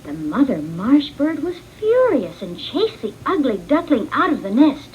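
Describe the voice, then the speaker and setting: Female storyteller for children's read-along, very animated and unhurried, noisy recording. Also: high voice, dull audio. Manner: expressive, deliberate read-along delivery. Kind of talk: scripted children's storybook narration.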